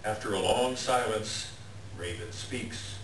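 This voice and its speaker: A man's voice: lowish voice, slow pace. A man reading scripted, dramatic story narration at a live staged reading. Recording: echoey room, distant mic, clear noise.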